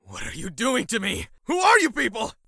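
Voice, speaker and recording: A man playing a brash, sleazy swindler in his late thirties in a manga dub, loud and cocky. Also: very high voice, wildly swooping pitch, normal speed, vocal fry, breathy edge, no room sound, clean studio sound, heavily acted. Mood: panicked. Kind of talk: manga dub character acting.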